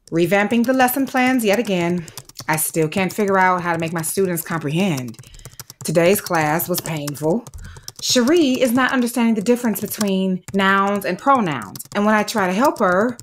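An actress playing a dedicated, firm but anxious third-grade teacher in an audio drama, speaking in a measured, articulate, formal way. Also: female voice, on the low side, slight background noise, natural voice.

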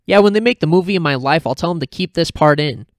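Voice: mid-range voice, fast talker, no room sound, clean studio sound, pitched above the performer's own voice, natural voice. A man voicing a bossy, mischievous young cartoon girl with a brash, snappy, commanding delivery. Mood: smug.

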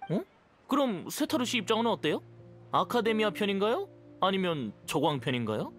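Earnest, high-voiced, animated young man. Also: moderate pace. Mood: probing, resentful. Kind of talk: Korean video game voice acting. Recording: music under the voice. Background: music.

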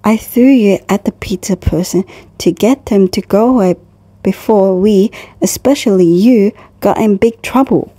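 A woman's voice: mid-range voice, a little slow, mellow, slightly rough, no room sound. A woman voicing a brash, loyal, combative young boy in a fan comic dub, with a blunt, argumentative manner. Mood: grudging, earnest.

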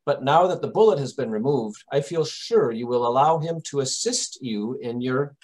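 A man playing a shrewd, composed English gentleman detective in old-time radio mystery style, formal and precise. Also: medium pitch, lively intonation, normal speed, natural voice. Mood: composed, pointed.

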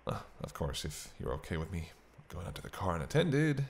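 Man playing a wry, teasing vampire, animated pitch. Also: fairly quick. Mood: dryly teasing. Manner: bantering, wry, hesitant asides. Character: teasing century-old male vampire, sardonic but soft-hearted. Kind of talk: intimate roleplay audio drama acting.

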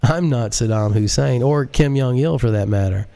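A man voicing a smug, menacing male computer genius, calm, deliberate and condescending, in an audiobook. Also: medium pitch, vocal fry, lightly acted. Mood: mocking calm. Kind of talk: audiobook character acting.

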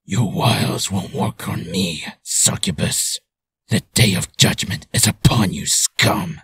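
A man voicing a menacing demonic avenger with a rasping growl, grim and threatening, for a game character reel. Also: low-pitched, slow pace, a bit bright, no room sound, studio quality, pitched below the performer's own voice, heavily acted. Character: menacing male demonic avenger passing judgment.

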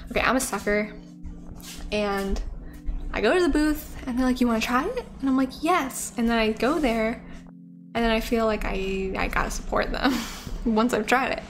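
A woman's voice: fairly high pitch, lively intonation, normal speed, soft background music. A young woman streaming from her living-room couch into a studio mic, rambling casually with lots of likes and ums. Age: young adult. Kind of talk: casual chat. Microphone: studio mic on a stand.

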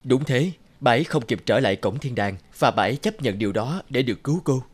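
An actor playing a stern, formal guardian of heaven's gate in an animated drama. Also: male voice, mid-range voice, brisk, close to an everyday voice. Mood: solemn.

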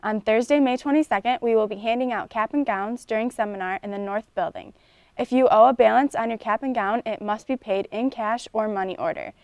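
A woman's voice: on the high side, fairly quick, a little mellow, dry recording. A young woman anchoring a student news show, reading school announcements in a studio. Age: young adult. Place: studio with curtain backdrop.

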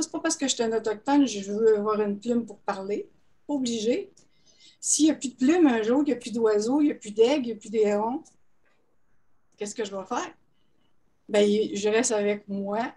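Older woman, husky and mellow, thoughtful remark over earbuds. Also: mid-range voice. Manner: reflective, asks herself questions. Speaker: participant. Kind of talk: casual comment in a discussion. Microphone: earbuds on a video call.

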